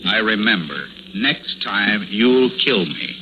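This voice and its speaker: An actor playing a calm, conciliatory and quietly wry husband in an old radio drama, measured and dry. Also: male voice, lowish voice, background noise. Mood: measured, placating. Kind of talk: mid-century radio drama acting.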